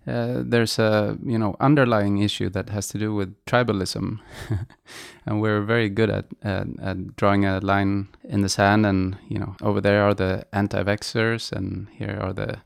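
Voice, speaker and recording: A middle-aged man from Sweden, a podcast guest who speaks English hesitantly and softly, with many restarts. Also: on the low side, little pitch movement, normal speed, vocal fry, no echo, studio quality. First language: Swedish. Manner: hesitant, soft-spoken, many restarts, light laughs. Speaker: guest, musician. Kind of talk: podcast interview.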